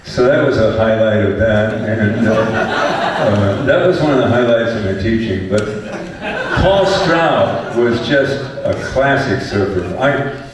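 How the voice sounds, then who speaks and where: Older guy w a lowish loud voice rambling through funny teaching stories, distant mic, big echoey hall. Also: lively intonation, slow, a crowd in the background. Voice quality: fairly loud voice. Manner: chuckling, rambling, often restarts his sentences. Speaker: panelist, former math teacher. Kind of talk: relaxed anecdotal storytelling to an audience. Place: large echoey event hall with a live audience. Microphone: distant microphone.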